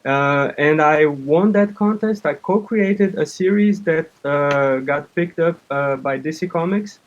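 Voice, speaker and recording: Brazilian man, thirties, native Portuguese speaker, fairly high voice. Halting, casual interview guest on a dull recording. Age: 30s. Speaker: interview guest, comic artist and game developer.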